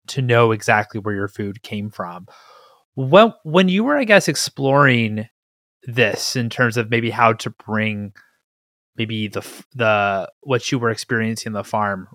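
A man's voice: medium pitch, fairly bright tone, no echo. A man hosting a business podcast, who asks friendly questions and sums up his guest's answers. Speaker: podcast host. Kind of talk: interview questions.

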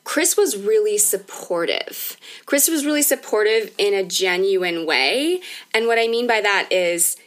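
A woman's voice: medium pitch, expressive, a little slow, fairly bright tone. A woman in her thirties hosting a podcast, talking casually and candidly in an informal, conversational way. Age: 30s. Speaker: podcast host.

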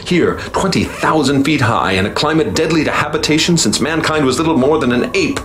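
A man's voice: on the high side, lively intonation, a little fast, fairly bright tone, slight background noise. An actor playing a male professor and explorer who narrates his discovery in earnest, dramatic tones, in a radio drama. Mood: awed. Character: middle-aged male professor, awed, driven explorer. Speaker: narrator and lead character. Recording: music bed behind the voice.

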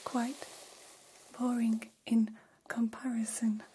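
A woman voicing a gentle, curious female alien in an ASMR roleplay, whispering softly in formal, halting, literal phrases. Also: on the high side, flat delivery, a little hiss or hum, rough recording. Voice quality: soft, breathy whisper. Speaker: ASMR performer.

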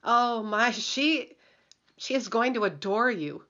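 A kindly motherly townswoman in a radio drama. Her voice is bright and forward, though the recording is a little dull. She fusses and repeats herself, tender and flustered. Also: a little high, expressive, slow. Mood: delighted. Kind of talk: radio play acting.

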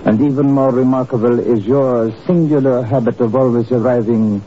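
An actor playing a proud, suspicious raja who speaks in a measured, pointed way in a 1950s radio drama. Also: male voice, mid-range voice, steady tone, natural voice. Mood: coolly accusing. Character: proud, suspicious Indian raja, coolly accusing. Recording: muffled old radio recording with hiss.

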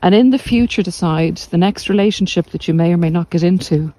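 A middle-aged woman giving reflective, informal podcast advice in a measured, deliberate way with pauses. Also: on the low side, warm tone, dry recording, poor audio. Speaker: podcast host and narcissistic abuse recovery coach. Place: walk outdoors along the coast.

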